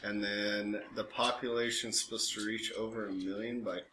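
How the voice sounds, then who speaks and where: A man's voice: on the low side, steady tone, moderate pace. An American man co-hosting a podcast in casual banter, rambling and jokey as he interrupts himself. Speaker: podcast co-host. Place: small room, recorded for a podcast and live stream. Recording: clearly noisy background.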